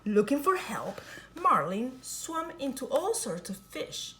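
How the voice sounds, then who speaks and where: Slow, lilting woman reading a storybook. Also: high-pitched, slight background noise, poor audio. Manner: expressive, sing-song storytelling. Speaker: storybook reader. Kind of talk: reading a children's picture book aloud.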